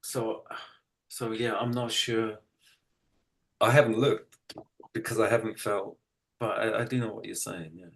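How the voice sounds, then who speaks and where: A middle-aged man with a British accent and a fairly low voice. He explains himself casually, as a guest in conversation, sounding practical, matter-of-fact and a little self-deprecating. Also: steady tone, a little slow, vocal fry, long pauses, a bit bright, low-quality recording. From United Kingdom. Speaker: interview guest, energy practitioner and massage therapist.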